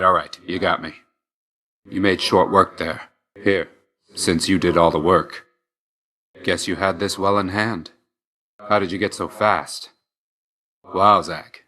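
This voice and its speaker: A man's voice: low voice, pushed and bright, full character voice. A man voicing a stern, brooding young god of death in a video game, speaking in a curt, restrained, solemn way with dry understatement. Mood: dry, reserved. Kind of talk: scripted video game voice lines.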